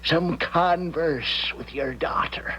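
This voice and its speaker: A man's voice: fairly high pitch, slow, pushed and bright, slightly rough, full character voice. A man playing a gouty, lecherous old lord in a 1970s radio drama, pompous, crotchety and sputtering with impatience. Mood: crotchety, demanding. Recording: muffled, noisy 1970s radio broadcast.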